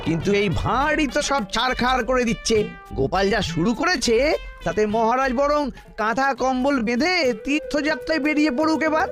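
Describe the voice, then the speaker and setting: A man's voice: very high-pitched, brisk, music in the background. A man voicing a resentful, plotting courtier in a cartoon, with an accusing, insinuating way of talking. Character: resentful, plotting male courtier stirring trouble against a rival.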